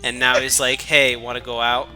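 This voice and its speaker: A man's voice: medium pitch, level tone, normal speed, sharp tone, soft background music, rough recording. A man doing a mocking dramatic reading with sweary, joking commentary and a mock-dramatic manner.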